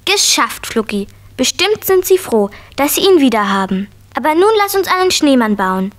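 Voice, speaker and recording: A woman's voice: high-pitched, very animated, normal speed. A woman playing an eager, kind-hearted little girl in a children's radio play, with childlike exclamations.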